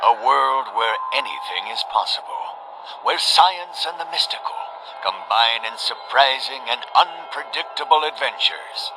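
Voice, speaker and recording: A man's voice: medium pitch, lively intonation, measured pace, music in the background, low-quality recording. A man playing a grandiose, theatrical announcer introducing an old-time pulp radio serial with suspense. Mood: grand, suspenseful.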